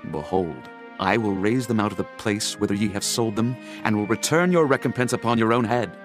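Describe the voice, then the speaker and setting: A man narrating a dramatized scripture audiobook in a solemn, declamatory, measured manner. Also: fairly low pitch, over music.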